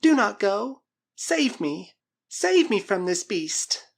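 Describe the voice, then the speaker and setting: A man's voice: big pitch swings, fairly quick, heavily acted. A man voicing an anguished young woman held captive in an adventure storybook, melodramatic, pleading and full of loathing. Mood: desperate pleading. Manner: pleading, melodramatic, high shifted pitch.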